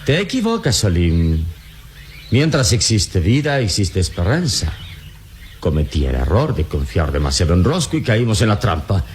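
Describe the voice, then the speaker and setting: Very deep-voiced noble adventure hero. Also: male voice, sing-song, darkish tone. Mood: resolute. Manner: solemn, deliberate, theatrical delivery. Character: heroic adult male adventurer, calm, noble, resolute. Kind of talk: radio drama acting. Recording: noisy old radio drama recording.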